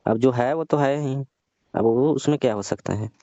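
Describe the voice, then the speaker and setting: Casting: man as nosy, mock-innocent father-in-law, mellow mid-range voice, phone comedy. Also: mid-range voice, moderate pace, dry recording, lightly acted. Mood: mildly exasperated. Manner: prodding, colloquial, mock-innocent. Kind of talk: staged comic phone-call skit. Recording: muffled, lo-fi, dry call recording.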